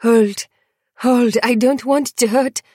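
A woman's voice: a little high, fairly even intonation, takes it slowly, darkish tone, no room sound, clean studio sound, voice pushed up. A woman voicing a big, dutiful young kennel man who pleads urgently with raised voice in an audiobook. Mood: pleading.

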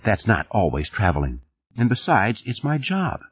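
Guy reading as a wife in an audiobook, higher husky voice, teasing, easily riled. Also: wildly swooping pitch, normal speed, lo-fi sound, heavily acted. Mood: angry. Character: adult wife, playful and teasing, quick to bristle.

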